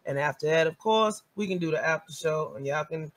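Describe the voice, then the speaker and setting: A man's voice: on the high side, a little fast, fairly bright tone. A man hosting a live stream, wrapping up in an upbeat announcer's manner and plugging the next shows. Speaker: host.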